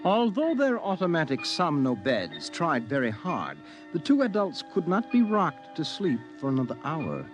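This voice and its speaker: A man's voice: fairly high pitch, huge pitch swings, moderate pace, hardly any pauses. A man narrating a 1950s radio drama as a theatrical, ominous storyteller. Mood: ominous storytelling. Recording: vintage radio recording with music behind.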